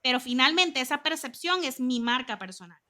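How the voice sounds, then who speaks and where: Bright, high-voiced Costa Rican woman. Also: brisk. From Costa Rica. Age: 30s. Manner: animated, chatty storytelling with lots of asides. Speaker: guest, communications consultant. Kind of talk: interview.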